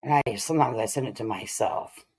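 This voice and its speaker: A woman's voice: very deep voice, fairly quick, a little mellow, slightly rough. A woman hosting a livestream, giving casual, emphatic and opinionated commentary on a case as she talks to her viewers.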